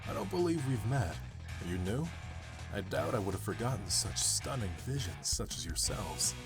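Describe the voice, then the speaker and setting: A man's voice: fairly low pitch, lively intonation, normal speed, gravelly, husky, exaggerated voice. A man playing a charming, laid-back young pop star in a visual novel game, with a confident, friendly manner and music behind. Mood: suave, charming.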